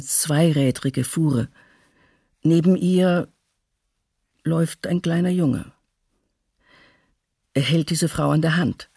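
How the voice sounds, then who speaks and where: An actress playing a sombre woman eyewitness giving halting testimony in a documentary radio drama. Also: low voice, creaky, dark tone, husky, no echo, studio quality, exaggerated voice. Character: woman eyewitness recalling a silent procession, sombre.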